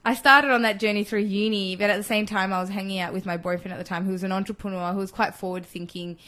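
A woman in her twenties from Chile, a podcast guest talking in a relaxed, thoughtful way with many fillers. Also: mid-range voice, fast. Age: 20s. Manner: thoughtful, filler-heavy, laughs easily. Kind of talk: casual interview chat.

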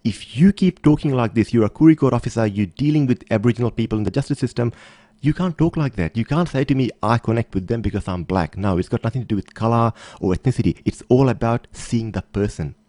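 Fast-talking man, dry close sound. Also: medium pitch, mellow, no echo. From Australia. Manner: emphatic, repeats phrases for stress. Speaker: podcast guest, motivational speaker. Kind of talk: conversational interview, personal anecdotes.

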